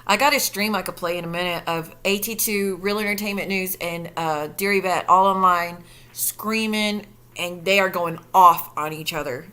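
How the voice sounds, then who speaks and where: Bright-voiced sarcastic woman streamer. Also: lowish voice, fairly even intonation, moderate pace, smooth. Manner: sarcastic, profane, incredulous. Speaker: commentary streamer. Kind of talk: reaction commentary stream.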